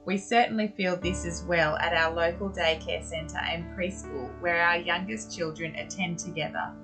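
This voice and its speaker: An Australian woman hosting a podcast interview, asking gentle questions and encouraging her guest. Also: fairly low pitch, fairly even intonation, normal speed, music in the background, poor audio. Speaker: podcast host.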